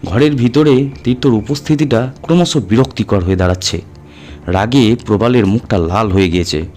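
A man's voice: fairly low pitch, moderate pace, a little mellow. A man reading a horror audio story as a narrator, in a dramatic scripted style. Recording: music under the voice. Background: background music.

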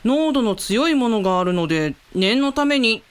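Woman acting earnest young female researcher, very animated. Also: fairly high pitch, moderate pace, clean tone, close to an everyday voice. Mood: earnest, explaining. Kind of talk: radio drama acting.

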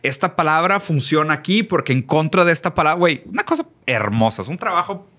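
A man in his thirties with a Mexican accent, speaking as a podcast guest who recommends books with fast, slangy enthusiasm. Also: a little high, sing-song, bright, forceful tone. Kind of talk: casual conversation about books. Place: small studio room, indoors. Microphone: desk mic on arm.

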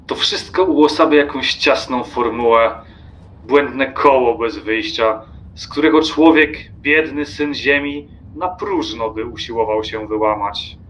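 An actor playing an irritable, disdainful lone train traveller in a radio play, haughty and sardonic in manner. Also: male voice, mid-range voice, fairly bright tone, low-quality recording. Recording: faint ambient noise behind.

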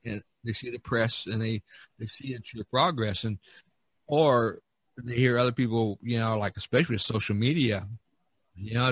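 A man's voice: medium pitch, no echo. A man chatting casually on a podcast, explaining things in a rambling way with many "you knows". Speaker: artist on a podcast.

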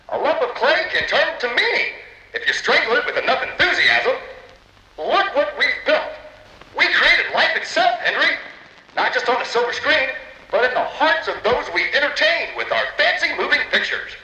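Grandiose, high-pitched studio boss. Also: male voice, bright, forceful tone, full character voice. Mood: grandiose, ominous. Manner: theatrical, pompous, salesman-like. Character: grandiose, manipulative cartoon studio boss, scheming dreamer. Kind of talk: dramatic recorded memo, game voice acting. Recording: reverberant, echoey recorded-memo sound.

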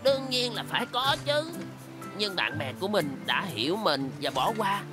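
An excitable little tow truck from a children's cartoon, voiced by an actor. The delivery is eager and friendly, with very lively pitch swings. Music plays behind the lines. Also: moderate pace, lightly acted. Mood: reassuring. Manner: exclamatory, eager. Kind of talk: animated children's cartoon dubbing.

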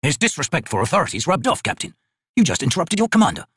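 Grizzled veteran commander, played by a male narrator, gruff husky growl, measured authority, fantasy audiobook. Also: fairly high pitch, very animated, fairly bright tone, no room sound, clean studio sound, voice pushed up, heavily acted. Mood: dry, stern.

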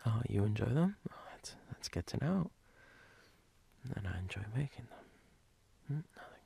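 A man playing a sleepy, teasing, doting boyfriend in an ASMR role-play, husky and breathy, often dropping to a whisper in an intimate, halting way. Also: fairly low pitch, darkish tone, heavily acted. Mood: tender teasing.

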